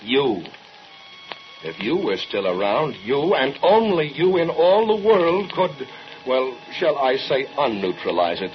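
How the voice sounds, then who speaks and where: A man's voice: mid-range voice, sing-song, a little slow. An American man playing a grave, persuasive brother in an old-time radio drama, insistent, pointed and probing. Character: persuasive, grave brother confronting a dangerous scientist. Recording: muffled vintage radio recording with music.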